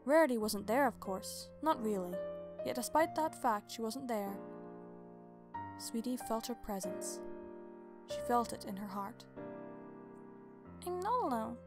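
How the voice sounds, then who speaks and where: Woman narrator, high-pitched, dramatic bedtime storytelling, clear music bed. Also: a little slow, pauses often.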